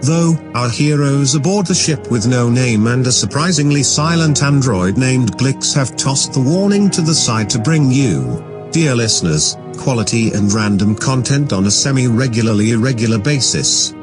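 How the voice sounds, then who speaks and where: A man's voice: medium pitch, animated, moderate pace. Man narrating sci-fi intro over music. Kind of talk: scripted mock-dramatic sci-fi narration. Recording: loud music under the voice.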